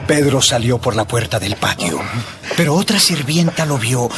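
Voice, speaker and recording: A man's voice: medium pitch, animated, moderate pace, background noise. A man narrating a dramatized Bible audiobook in a dramatic storytelling manner.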